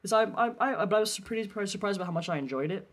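A man's voice: sits high, lively intonation, racing, a crowd in the background. A man co-hosting a film podcast, chatting casually about movies. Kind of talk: casual chat about films.

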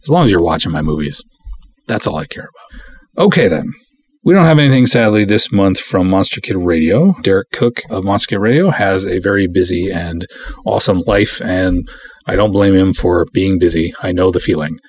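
A man with a husky, somewhat low voice hosts a podcast on his own. He chats casually and rambles a little, self-deprecating, slipping between reading aloud and offhand commentary. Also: animated, normal speed, a little mellow, dry recording. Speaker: podcast host.

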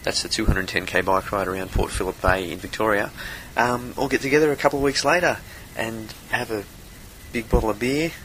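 A man's voice: on the low side, a little fast, a bit bright, slight background noise, rough recording. A man casually introducing a podcast in an informal, conversational way. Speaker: podcast host.

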